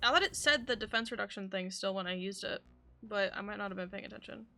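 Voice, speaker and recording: A woman's voice: medium pitch, fast talker, vocal fry, pushed and bright. A woman giving casual gameplay commentary as she streams. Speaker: game streamer.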